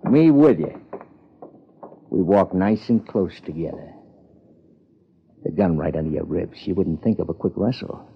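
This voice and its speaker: An actor playing a tough, blunt gangster in a 1950s American radio crime drama, talking in slangy threats with an American accent. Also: male voice, low-pitched, lively intonation, measured pace, gravelly, pauses often, husky, heavily acted. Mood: threatening. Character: tough, blunt male gangster just out of prison. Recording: muffled, noisy old radio broadcast.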